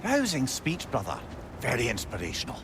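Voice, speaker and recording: A man's voice: mid-range voice, a little fast. An actor playing a wry, sarcastic old male advisor who trades dry quips. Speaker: video game character. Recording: game audio with steady background noise.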